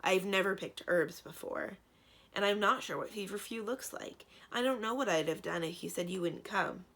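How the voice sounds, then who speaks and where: A woman voicing an eager, chatty, easily worried young female friend in a storybook, animated and earnest. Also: mid-range voice, normal speed. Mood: relieved.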